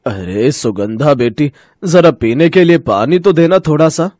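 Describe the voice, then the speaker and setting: An actor playing a kindly, humble village potter in a cartoon story, an affectionate father with a husky voice. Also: on the high side, lively intonation, a little slow, no echo, studio quality. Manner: affectionate, gentle.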